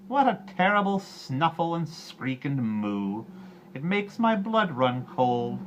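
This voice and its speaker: Storybook gnome, performed by a man: tiny, taunting trickster, pitched up high, swooping sing-song delivery. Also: big pitch swings, slow, a little hiss or hum. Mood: drawling mockery. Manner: sing-song, roaring and crooning with nonsense rhymes. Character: bizarre stumpy little gnome man, mocking and wheedling.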